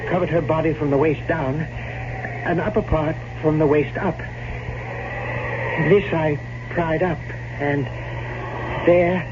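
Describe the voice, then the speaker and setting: A man acting a frightened manservant in an old-time radio drama, speaking haltingly with dread and dramatic pauses. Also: fairly high pitch, fairly even intonation. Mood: horrified. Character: frightened, superstitious manservant in a gothic tale. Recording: muffled, very noisy old radio recording.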